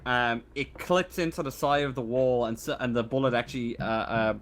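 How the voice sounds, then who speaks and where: A man's voice: medium pitch, fairly even intonation. A man runs a tabletop roleplaying game as game master. He narrates and makes rulings off the cuff, stammering and restarting often, with a casual, sweary manner. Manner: halting, stammering delivery.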